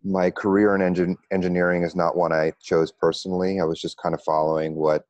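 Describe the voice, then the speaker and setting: A middle-aged American man with an extremely low voice and a flat, steady melody. He tells his story conversationally as an interview guest, pausing and hesitating often. The sound is close and dry. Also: no echo, dull audio. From United States. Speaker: interview guest, meditation coach.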